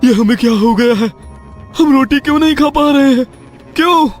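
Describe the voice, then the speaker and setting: A man's voice: very high-pitched, normal speed. A man acting a poor, desperate and loving labourer father in storybook dialogue. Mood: anguished. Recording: music under the voice.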